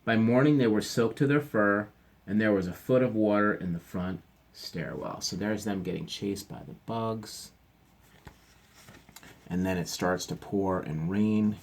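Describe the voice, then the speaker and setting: A man teacher reading a picture book aloud to children, stopping for gentle chatty asides. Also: fairly low pitch, unhurried.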